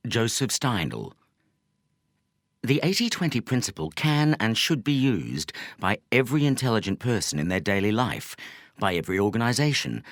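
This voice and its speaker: A man reading a nonfiction audiobook as a scripted narrator, in measured, deliberate phrases with emphatic stresses. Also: lowish voice, no echo, clean studio sound.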